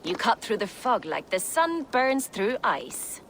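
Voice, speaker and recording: A woman's voice: medium pitch, very animated, measured pace, a bit bright, a little hiss or hum. A woman playing a bold, exuberant female Viking warrior in a video game, with a flirtatious manner. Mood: playful. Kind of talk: dramatic video game acting.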